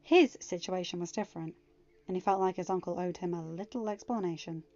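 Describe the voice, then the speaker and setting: A woman's voice: on the low side, fairly quick, dark tone, muffled recording. Woman reading a fan story aloud. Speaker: fanfiction audiobook reader. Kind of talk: storybook narration, casual outro chat.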